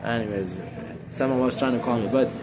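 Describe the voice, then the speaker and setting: A man's voice: mid-range voice, a little fast. A middle-aged man from Syria talking casually and unscripted, explaining things in a preachy, repetitive, rambling way. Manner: rambling, repeats phrases for emphasis; preachy, addresses listener as brother. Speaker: street guitarist and talker. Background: guitar music.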